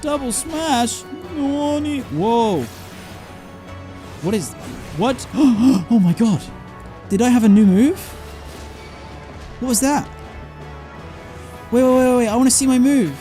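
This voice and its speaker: Male game streamer, high voice, very animated swings, exclamatory, repetitive, casual swearing, faint music behind. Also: slow, long pauses. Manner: exclamatory, repeats words and phrases. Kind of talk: excited live game commentary.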